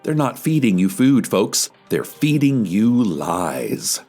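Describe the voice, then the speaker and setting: A fervent, self-important PSA announcer, played by a man, with pitch that swings widely and a slightly husky voice. Soft music runs beneath the radio-style spot. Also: big pitch swings, slow, heavily acted. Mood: pompous, preachy. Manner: pompous, fervent, rhetorical. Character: pompous male government public-service announcer.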